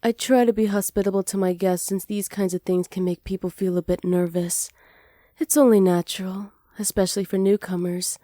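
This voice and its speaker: A sly, self-assured witch played by a woman speaks softly in a warm, dark-toned voice for an ASMR roleplay. She is flirtatious and hospitable, teasing and flattering as she goes. The sound is very dry, with no room around it. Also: mid-range voice, moderate pace, no room sound, lightly acted. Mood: sly, amused. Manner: soft-spoken, teasing, flattering, partly whispered. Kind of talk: ASMR roleplay, slow scripted acting.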